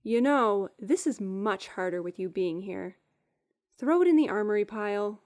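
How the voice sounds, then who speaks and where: A woman's voice: mid-range voice, animated, normal speed, a little mellow, natural voice. A woman acting a practical, loyal, dry-witted young servant in a fan-made visual novel. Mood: dry, exasperated.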